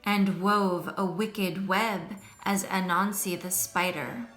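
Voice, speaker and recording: A middle-aged woman reading a picture book aloud to children in an expressive, deliberate storytelling manner. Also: fairly low pitch, faint music behind.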